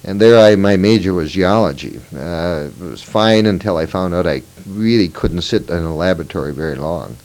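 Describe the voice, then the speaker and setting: A man's voice: deep voice, moderate pace, hardly any pauses, darkish tone, low-quality recording. A man in his fifties from Minnesota, recalling his past in an oral history interview, meandering with fillers and self-corrections. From Moorhead, Minnesota. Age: 50s.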